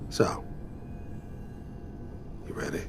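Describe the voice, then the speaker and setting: A man playing a cold, world-weary mercenary killer in a video game, deliberate and taunting. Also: background noise. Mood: calm menace.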